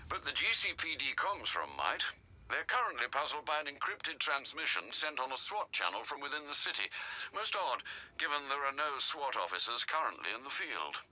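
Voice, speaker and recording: An actor playing a loyal older butler in a game, formal, dry and deferential. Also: male voice, medium pitch, sing-song, moderate pace, bright, forceful tone, exaggerated voice, poor audio. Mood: earnest, composed. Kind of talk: video game drama acting.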